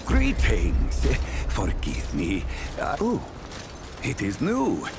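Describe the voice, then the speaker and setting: A deep, wildly swooping horned creature. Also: male voice, wildly swooping pitch, exaggerated voice. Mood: polite, puzzled. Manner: halting, formal, puzzled. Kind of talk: video game character dialogue. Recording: clear background noise.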